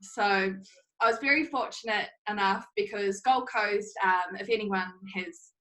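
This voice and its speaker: Young woman, new zealand accent, chatty, laughs a lot, middle pitch. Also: unhurried, fairly bright tone, rough recording. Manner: chatty, laughs often, many fillers. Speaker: interview guest, social media manager. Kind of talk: casual interview talk.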